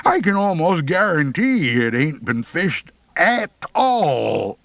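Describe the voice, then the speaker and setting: Man voicing a high-pitched sly logger. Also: big pitch swings, slow pace, creaky, a bit bright, no room sound, full character voice. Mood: sly amusement.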